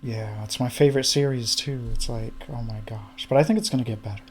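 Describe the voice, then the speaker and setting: A man's voice: medium pitch, fairly even intonation, a little fast, darkish tone. A man of about thirty chatting casually, hesitant, often trailing off with fillers. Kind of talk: casual chat.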